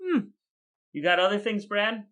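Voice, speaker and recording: A man's voice: high voice, animated, unhurried, a bit bright. A man hosting a casual podcast, speaking in a playfully grandiose way. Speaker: podcast host. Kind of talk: casual podcast banter with scripted sign-off.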